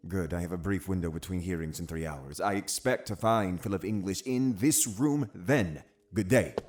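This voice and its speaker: An actor playing a stern, scheming older magistrate, pious and condescending, in a period audio drama. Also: male voice, low voice, moderate pace, a little mellow, faint music behind, lightly acted. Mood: curt, satisfied. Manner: formal, commanding, condescending.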